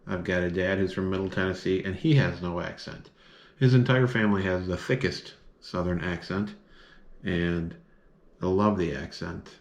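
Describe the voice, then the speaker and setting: A man's voice: low-pitched, moderate pace. A man from the northern United States with no accent, chatting casually to camera as a vlogger, rambling with many filler words. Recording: echoey room.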